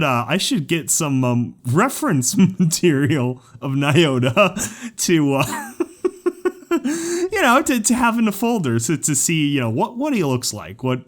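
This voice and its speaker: A man who works as a voice actor, casually telling a behind-the-scenes story and often breaking into giggles. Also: medium pitch. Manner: giggly, breaks into laughter while talking.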